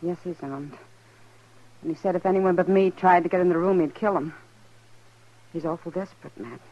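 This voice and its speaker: A woman's voice: fairly low pitch, moderate pace, close to an everyday voice. An actress playing a worried, tender-hearted woman of a frontier town in a 1950s radio western. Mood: anxious, pleading. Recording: dull lo-fi old radio broadcast.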